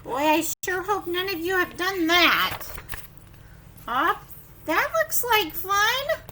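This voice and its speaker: A woman teacher doing an animated story time for young children, sing-song and exclaiming, often putting questions to them. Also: very high voice, takes it slowly, a little hiss or hum.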